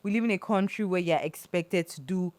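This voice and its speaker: A young woman from Nigeria co-hosting a casual podcast chat, telling animated stories and acting out what others said. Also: on the low side, fairly quick, dry recording. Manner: animated storytelling, acting out quotes. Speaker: podcast co-host.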